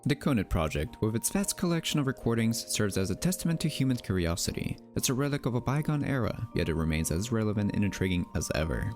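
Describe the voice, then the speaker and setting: Male narrator, low register, lively melodic delivery, wry scripted mystery documentary over faint music. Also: fast, few pauses. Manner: wry, theatrical, rhetorical asides.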